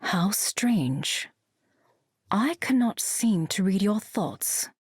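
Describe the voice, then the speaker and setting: Slow, breathy telepath. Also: female voice, mid-range voice, animated, darkish tone, no echo, clean studio sound, full character voice. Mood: puzzled, cool. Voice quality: breathy, whispery. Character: cool, curious female telepath. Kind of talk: video game character voice lines.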